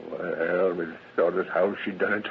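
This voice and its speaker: A man's voice: deep voice, little pitch movement, unhurried, gravelly. An actor playing a vengeful country father with a folksy rural American way of speaking, in an old radio drama. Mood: eager, accusing. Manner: folksy, accusing, ungrammatical drawl. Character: vengeful rustic father of a murdered son. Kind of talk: old-time radio crime drama acting. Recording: tinny, noisy vintage radio recording.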